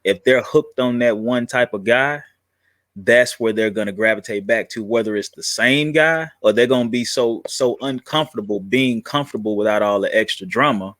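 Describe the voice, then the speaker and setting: A man's voice: medium pitch, fairly bright tone, no room sound, clean studio sound. A man in his late thirties, a podcast guest, talking earnestly and reflectively about growth and relationships. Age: 30s. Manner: earnest, reflective, halting restarts. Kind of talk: casual chat.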